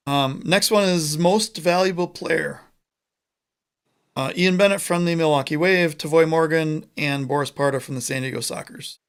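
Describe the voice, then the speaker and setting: A man from the United States co-hosting a casual sports podcast over a remote call, chatty and joking, with quick asides. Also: on the high side, smooth. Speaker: podcast co-host.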